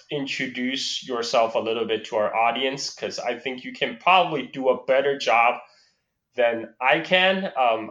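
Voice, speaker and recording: Male podcast host with a light Chinese accent, halting delivery, in a roomy space with slightly dull sound. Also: medium pitch, fairly bright tone. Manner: halting, with fillers and self-corrections. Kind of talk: casual interview.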